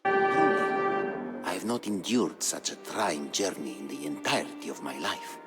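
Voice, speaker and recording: A very deep old war chronicler. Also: male voice, animated, loud music behind the voice. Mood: weary. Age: older. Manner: grave, measured storytelling. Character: aged solemn Umayyad chronicler of war campaigns, male. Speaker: narrator. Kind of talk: dramatic scripted storyline narration.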